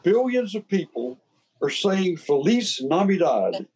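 A man's voice: high-pitched, very animated, measured pace, a little mellow, slightly rough, rough recording. A man from Texas with a Texan accent, co-hosting a podcast in a folksy, enthusiastic way. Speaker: podcast co-host.

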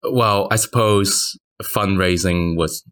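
A man giving measured, analytical answers as a reporter guesting on a podcast. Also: deep voice, fairly even intonation, fairly bright tone. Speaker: podcast guest, senior private equity reporter.

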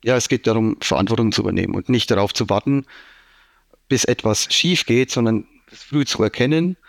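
A man's voice: on the low side, fairly quick, vocal fry, no room sound. A man from Franconia with a Franconian German accent, an IT manager being interviewed, explaining things at length with many fillers. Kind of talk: casual business interview.

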